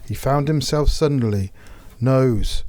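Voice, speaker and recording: Slow-paced man reading a children's storybook. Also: medium pitch, a little mellow, dry recording. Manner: measured, dramatic, deliberate pauses. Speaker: storyteller reading a picture book. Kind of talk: expressive children's storybook narration.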